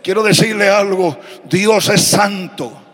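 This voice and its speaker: A man preaching an impassioned sermon at a convention, in a half-sung, shouted chant. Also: high-pitched, slow pace. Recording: long hall reverb.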